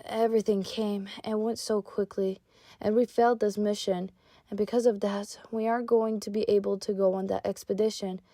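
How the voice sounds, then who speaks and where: A woman voicing an insecure young fox creature in a comic dub, apologetic, confused and halting. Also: medium pitch, flat delivery, mellow, no room sound, clean studio sound, lightly acted. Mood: apologetic, downcast. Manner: hesitant, halting. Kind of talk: animated comic dub acting.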